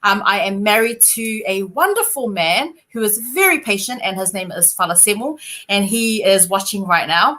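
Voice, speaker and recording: A woman's voice: medium pitch, expressive, normal speed, sharp tone. A woman, a podcast guest, talking in a playful, joking way. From New Zealand. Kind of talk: casual podcast chat.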